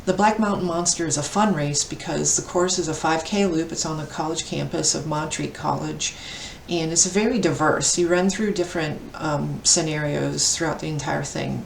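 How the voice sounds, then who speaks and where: A woman's voice: deep voice, fairly even intonation, normal speed, gravelly. A woman aged fifty talking casually as a vlogger about her own running. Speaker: vlogger and amateur ultra runner. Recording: echoey, distant mic, faint noise.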